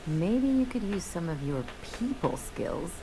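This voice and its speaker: An actress playing a brash, bitter young adventurer in a video game, with a sardonic, theatrical way of telling stories. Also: female voice, on the low side, very animated, a little slow, noisy background. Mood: scheming. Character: brash young adventurer woman, bitter, dramatic, estranged from parents.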